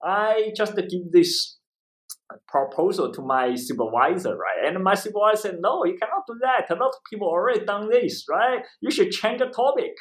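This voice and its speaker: Male academic guest, Chinese accent, high voice with very animated pitch, conversational, repeats himself and tags points with "right?". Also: moderate pace, rough recording. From eastern China. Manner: repeats phrases, often tags statements with "right?". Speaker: interview guest, history professor and author. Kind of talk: conversational explanation in an interview.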